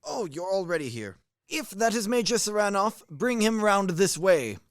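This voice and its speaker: A high-pitched, wildly swooping middle-aged military man, acted by a man, pompous and blunt in a radio play. He grumbles like an old-fashioned husband, recorded very dry and close. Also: big pitch swings, normal speed, no room sound. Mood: blustering.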